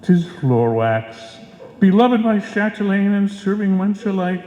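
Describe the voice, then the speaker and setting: Pompous would-be Shakespearean salesman, animated declaiming, old-time radio comedy, reverberant muffled hall. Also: high voice, unhurried. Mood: grandly declaiming. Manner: theatrical, pompous, grandly declaiming. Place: live performance in a reverberant hall. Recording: echoey hall, dull live stage sound.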